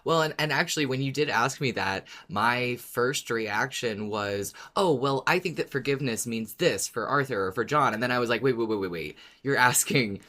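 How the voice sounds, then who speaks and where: A man's voice: mid-range voice, moderate pace, few pauses, sharp tone. A man co-hosting a podcast in casual discussion, speaking thoughtfully and circling back over his words. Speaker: podcast co-host.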